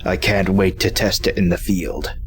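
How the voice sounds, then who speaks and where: A man playing a calm, dry-humored robot hero with an understated, earnest delivery. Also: deep voice, moderate pace, a little hiss or hum, close to an everyday voice.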